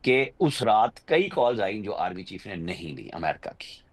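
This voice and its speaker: A man's voice: fairly low pitch, big pitch swings, moderate pace. Pakistani male analyst with swooping intonation. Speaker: journalist, political analyst guest. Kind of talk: unscripted political commentary.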